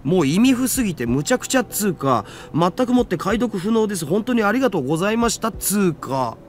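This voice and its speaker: A man's voice: high-pitched, big pitch swings, racing, slight background noise. A man voicing a geeky, easily exasperated young male hacker in a Japanese visual novel, with theatrical slangy speech. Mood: casual, puzzled. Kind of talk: anime-style game voice acting.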